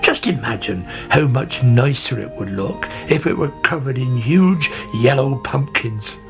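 A man's voice: medium pitch, animated, measured pace, music in the background. A man voicing an opinionated, grumbling old grandfather gardener in a storybook tale, muttering and exclaiming. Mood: smug, muttering. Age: older. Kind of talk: storybook character voice.

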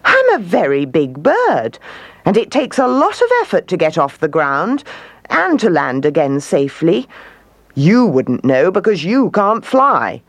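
A woman's voice: fairly low pitch, huge pitch swings, a little slow, no echo, pitched above the performer's own voice, full character voice. A woman voicing a vain, huffy female emu in a children's story, squawking and indignant. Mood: huffy, boastful.

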